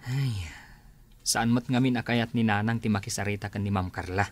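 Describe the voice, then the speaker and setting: An actor playing a patient, weary grown son, a schoolteacher, speaking earnestly in a radio drama. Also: male voice, fairly low pitch, fairly even intonation, normal speed, a little mellow, no echo, lightly acted. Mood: resigned. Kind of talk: Filipino radio drama acting.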